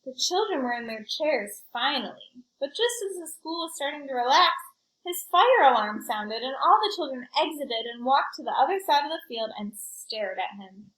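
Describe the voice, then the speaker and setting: A woman reading a storybook aloud in an expressive, child-directed way, with chatty asides to her young listeners. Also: sits high, moderate pace, echoey room, rough recording. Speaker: school librarian reading aloud.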